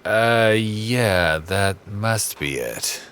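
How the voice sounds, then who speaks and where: A man playing a shy, gentle, scholarly character in an audio drama, speaking hesitantly with stammers. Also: fairly low pitch, lively intonation, very slow, a little hiss or hum, heavily acted. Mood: awkward fond. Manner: hesitant, stammering, nervous small laughs. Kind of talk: naturalistic audio drama acting.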